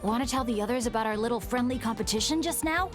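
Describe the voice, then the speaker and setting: An actress voicing a cheerful, teasing young-sounding video game woman who tells stories in an animated way. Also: a little high, fast talker, close to an everyday voice. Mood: playful teasing. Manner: animated storytelling. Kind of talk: video game dialogue acting. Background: music.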